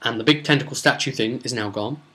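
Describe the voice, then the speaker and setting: A man speaking as a game master, casually retelling a role-play session with emphatic, drawn-out words for suspense. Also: mid-range voice, bright, forceful tone. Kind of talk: casual spoken storytelling recap.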